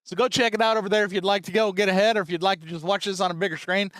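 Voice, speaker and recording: A man's voice: high voice, fairly even intonation, rapid-fire, fairly bright tone, no echo, poor audio. A man hosting a reaction video, giving casual, rambling, informal commentary.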